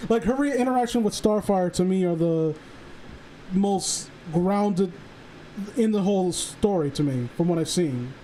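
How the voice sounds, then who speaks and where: A man chatting casually on a stream, halting and rambling as he earnestly works through his thoughts. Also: high voice, animated, dark tone, low-quality recording. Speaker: stream participant. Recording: faint background noise.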